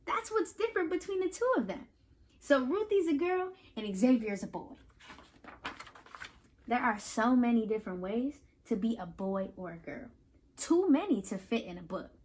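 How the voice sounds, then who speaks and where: A woman reading a picture book aloud to children, pausing to explain and put questions to the listeners in an instructive, animated way. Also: on the high side, slight background noise. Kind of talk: children's read-aloud with teaching asides.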